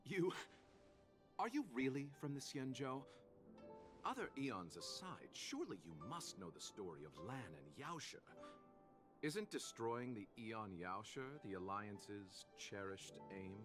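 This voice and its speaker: A man playing a courteous, worldly, enigmatic merchant in a video game, with refined, formal, gently amused speech. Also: medium pitch, sing-song, measured pace. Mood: puzzled, polite. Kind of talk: video game character dialogue. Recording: prominent background music under the voice.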